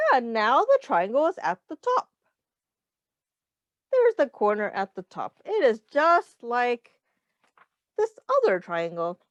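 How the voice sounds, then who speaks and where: Casting: female early-years teacher, slow and very expressive, doing an interactive children's read-aloud. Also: high voice, no room sound, lo-fi sound. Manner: instructive, explains in simple words, repeats and counts aloud; addresses children directly, pausing for their answers. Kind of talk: children's read-aloud with questions to young listeners.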